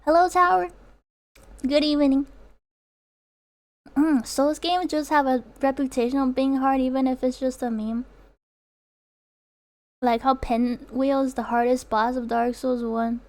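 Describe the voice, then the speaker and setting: A woman's voice: high-pitched, steady tone, measured pace, pauses often. A young woman streamer whose first language is Chinese, chatting casually with viewers, rambling with lots of fillers and laughter. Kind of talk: casual chat reading viewer comments.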